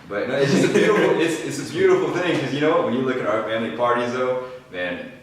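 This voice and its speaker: A man's voice: medium pitch, slight background noise. A man talking earnestly about family in a casual group podcast, stumbling over some starts. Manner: earnest, warm-hearted, halting starts. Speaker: podcast co-host. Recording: echoey room from far off.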